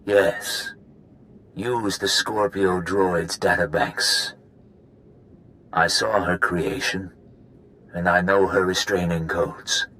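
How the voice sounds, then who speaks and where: An actor playing an ancient, solemn alien keeper of secrets in a video game, speaking gravely and deliberately. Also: male voice, low voice, fairly bright tone, a little hiss or hum. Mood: solemn counsel.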